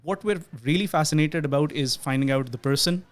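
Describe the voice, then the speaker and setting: A man's voice: on the high side, brisk. A man hosting an interview podcast, introducing his guest earnestly and asking questions with many fillers and restarts. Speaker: podcast host.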